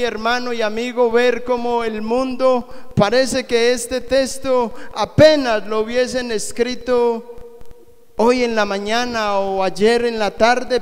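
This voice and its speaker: A man's voice: very high voice, smooth. A middle-aged man preaching and praying fervently into a handheld microphone in a small church hall, with emphatic, repetitive phrasing. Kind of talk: Pentecostal-style sermon with prayer. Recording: echoey hall reverb.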